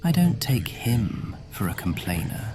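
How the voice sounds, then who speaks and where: A man playing an imperious, cold, centuries-old vampire lord in a fantasy audio roleplay, with a breathy, whispery, menacing drawl. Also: on the low side, sing-song, unhurried, over music. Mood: scornful dismissal.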